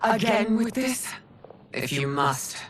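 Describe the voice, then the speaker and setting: A woman's voice: mid-range voice, very animated, takes it slowly, distant mic, a little hiss or hum. A woman voicing a stern, proud middle-aged empress in a video game drama, with a formal, commanding manner.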